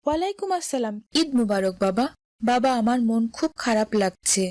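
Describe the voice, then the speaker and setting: A woman's voice: a little high, expressive, fairly quick, a little mellow, dry recording, studio quality, close to an everyday voice. A woman voicing a devout, friendly young boy in a cartoon, earnest and courteous. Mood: wistful. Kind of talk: cartoon drama voice acting.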